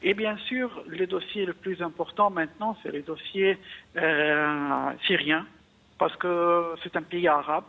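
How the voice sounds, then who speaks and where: A man from Egypt giving political analysis in an interview, speaking haltingly with repeated words. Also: fairly high pitch, steady tone. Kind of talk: live news interview commentary. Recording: narrow-band radio phone-in sound.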